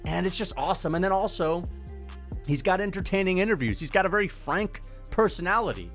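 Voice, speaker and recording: A man giving casual, animated MMA commentary, talking loosely and swearing freely. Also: high-pitched, a little fast, faint music behind.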